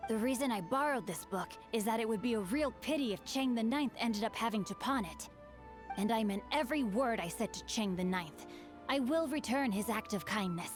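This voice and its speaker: A woman's voice: on the high side, expressive, fairly quick, over music, lightly acted. A woman voicing an earnest, bookish young male swordsman who speaks in formal, old-fashioned phrases and quotes proverbs. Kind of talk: video game character dialogue.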